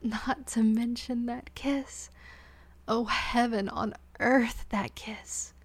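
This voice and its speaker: A woman voicing a young, lovesick servant girl who talks in a trembling, breathy whisper. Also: on the high side, little pitch movement, slow pace, no room sound, full character voice. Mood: dreamy.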